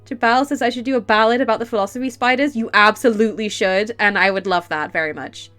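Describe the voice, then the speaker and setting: A woman streaming a game, chatting casually and reading its text aloud, excitable and quick to laugh, with a stuffy nose and sore throat from a cold. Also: faint music behind. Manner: excitable, laughs easily, bursts into high delight.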